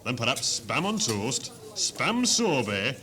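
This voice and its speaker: Male actor as hapless young working-class hero, broad Northern English, exclamatory, lively pitch, 1970s hospital radio comedy. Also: slow, full character voice. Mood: cheerful, earnest. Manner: broad dialect, exaggerated exclamations. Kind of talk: amateur comedy radio play acting. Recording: 1970s hospital radio recording, music behind.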